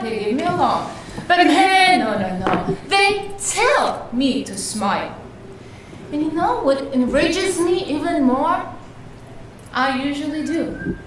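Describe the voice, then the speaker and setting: A woman's voice: high voice, full character voice. A woman performing a stage monologue as an exasperated working woman fed up with being told to smile, indignant and emphatic in a theatrical way. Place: indoor live performance space. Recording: roomy echoing hall, distant mic, faint noise.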